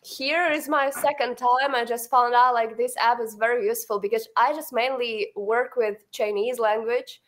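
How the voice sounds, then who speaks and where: A woman's voice: fairly high pitch, fairly even intonation, normal speed, few pauses, a bit bright. Giggly, curious woman on a video call. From Ukraine. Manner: curious, giggly, asks with lots of "like". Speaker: English learner. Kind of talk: casual video-call chat.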